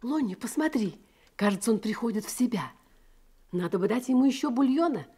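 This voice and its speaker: A woman playing a caring young woman who tends a sick boy in a radio play, gentle and attentive. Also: a little high, expressive, fairly quick, husky. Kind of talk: Soviet radio drama acting.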